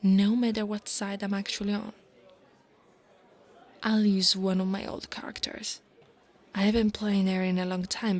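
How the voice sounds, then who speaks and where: A woman's voice: medium pitch, close to an everyday voice. A woman playing a big, domineering but insecure dragon college bully in an ASMR roleplay, with a teasing, haughty manner. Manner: teasing, haughty, drawn-out delivery with breathy asides.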